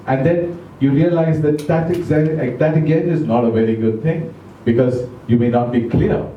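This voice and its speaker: A man giving an educational talk to a club audience, speaking slowly and deliberately, asking them questions, English not his first language. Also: on the high side, recorded from a distance, muffled recording, a little hiss or hum. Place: club meeting room. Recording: echoey room recorded from afar.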